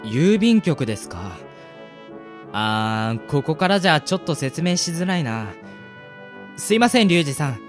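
A man's voice: fairly high pitch, very animated, normal speed, long pauses, soft background music. A man acting a polite, easygoing young florist's son in a radio drama. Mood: helpful. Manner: polite, easygoing, soft-mannered speech. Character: polite, cheerful young son minding a flower shop.

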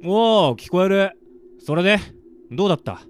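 Man acting brusque, animated young friend. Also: high-pitched, normal speed, clear, resonant tone. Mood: casual, questioning. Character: brusque, urgent young male friend in radio drama. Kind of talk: amateur radio drama acting.

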